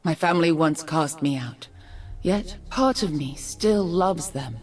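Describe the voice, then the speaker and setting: A proud noble mage lady for a video game, deep voice, measured n formal, bit of music behind. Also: lively intonation. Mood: wistful, resolute. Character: poised young noblewoman mage and politician, proud, determined. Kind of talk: video game character voice lines.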